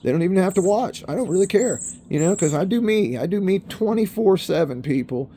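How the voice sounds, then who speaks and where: A man's voice: high-pitched, animated, a little fast, warm tone, a little hiss or hum. A man in his fifties rambling in a casual monologue, swearing often and calling the viewers bro and people. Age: 50s. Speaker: vlogger. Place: in a car.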